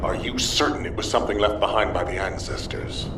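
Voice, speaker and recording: An actor playing a serious, authoritative military commander in a video game, firm and formal. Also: male voice, on the low side, fairly quick, background noise, lightly acted. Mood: serious, commanding. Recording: roomy, distant, music behind.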